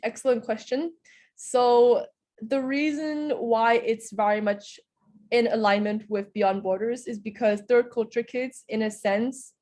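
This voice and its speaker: Earnest young woman, higher voice. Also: darkish tone. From Hungary. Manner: earnest, explanatory, frequent "in a sense" hedges. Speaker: interview guest. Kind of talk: interview answers.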